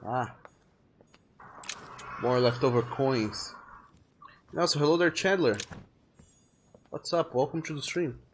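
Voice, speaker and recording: A man thinks aloud while he plays a game on stream, rambling and restarting his sentences. His voice sits at a middle pitch. A faint hiss runs underneath. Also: slow pace, pauses often. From France. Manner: rambling, self-correcting, chatty. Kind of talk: casual gameplay commentary.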